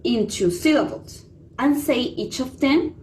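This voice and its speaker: A woman with a somewhat high voice reads pronunciation tips aloud for a class. She reads haltingly and trips over words now and then, with faint background noise. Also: darkish tone. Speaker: student presenter. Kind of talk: reading a class presentation.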